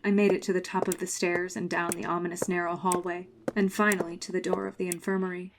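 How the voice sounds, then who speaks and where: A woman's voice: on the low side, level tone, fast talker, background noise, close to an everyday voice. A woman playing a haunted adult survivor, narrating a scripted horror drama in a grave, suspenseful way. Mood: haunted reflection. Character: haunted grown woman narrating her frightening schooldays. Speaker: narrator and main character.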